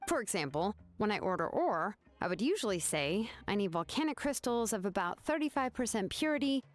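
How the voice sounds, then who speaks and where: A young female artisan from a video game, voiced by an actress, composed, easygoing and precise about her craft. Her mid-range voice moves freely up and down as she explains. Soft music runs behind her. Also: medium pitch, sing-song, fairly quick, close to an everyday voice. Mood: matter-of-fact, explaining. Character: composed young female craftswoman, laid-back but exacting.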